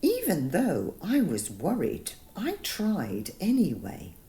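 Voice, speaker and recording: A woman voicing a timid little boy potato in a bedtime story, with a soft, breathy, wide-eyed delivery. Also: fairly low pitch, huge pitch swings, takes it slowly, heavily acted. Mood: proud.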